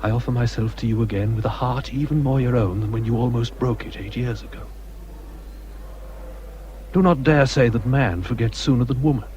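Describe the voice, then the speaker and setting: Period radio drama: ardent captain in love, male actor, mid-pitched, formal and intense, slight noise behind. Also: moderate pace, a little mellow, dull audio, lightly acted. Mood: impassioned longing. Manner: earnest, formal, impassioned.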